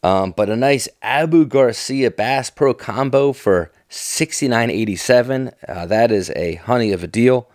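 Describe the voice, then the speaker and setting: A man's voice: medium pitch, measured pace, hardly any pauses, a little mellow, no echo, studio quality. A middle-aged American man giving casual, off-the-cuff commentary in a folksy, enthusiastic, nostalgic manner. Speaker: fishing tackle vlogger.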